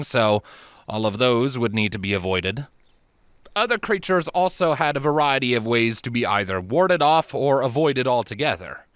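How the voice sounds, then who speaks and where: A man reading scripted fiction as an audiobook narrator, expressive and measured in a dramatic storytelling style. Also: mid-range voice, no room sound, studio quality.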